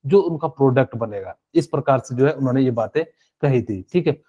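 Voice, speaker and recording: A man's voice: a little high, mellow, highs cut off. A male chemistry teacher giving a live online class, explaining step by step with many fillers and asking students questions.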